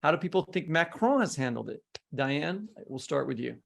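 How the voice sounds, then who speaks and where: A man's voice: on the high side, expressive, normal speed, creaky. A man with an American accent hosting a podcast, asking questions in a conversational way. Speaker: podcast host, interviewer. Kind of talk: conversational interviewing.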